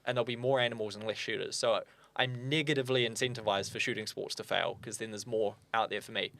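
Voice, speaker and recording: A young man, speaking as an interview guest in an earnest, fluent way with quick asides. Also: fairly low pitch, fairly even intonation, vocal fry, a bit bright, no echo. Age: young adult. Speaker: guest, firearm owners' spokesperson. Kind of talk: casual interview chat.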